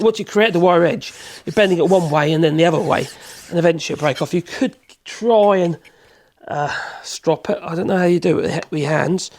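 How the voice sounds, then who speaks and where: A man with a cold, hoarse, chatting in a rambling way as he demonstrates in his workshop. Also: fairly high pitch. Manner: rambling, chatty, self-correcting, chuckles. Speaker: woodworking channel host.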